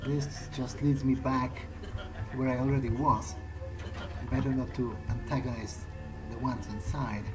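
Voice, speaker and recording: Slow-paced man narrating his gameplay. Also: medium pitch, fairly even intonation, music in the background, rough recording. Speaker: let's play gamer. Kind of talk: in-character game playthrough commentary.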